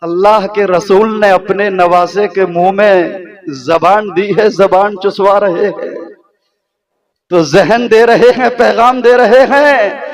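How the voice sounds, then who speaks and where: A man preaching a sermon with rhetorical repetition, his voice rising to shouts. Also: high-pitched, steady tone, slow. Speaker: Islamic preacher. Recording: live gathering with crowd behind. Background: crowd.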